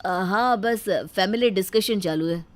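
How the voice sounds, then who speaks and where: A harried middle-aged household father, acted by a woman, speaks at a medium female pitch. He grumbles but stays polite, as a character in a domestic moral tale. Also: a little slow, natural voice. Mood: placating.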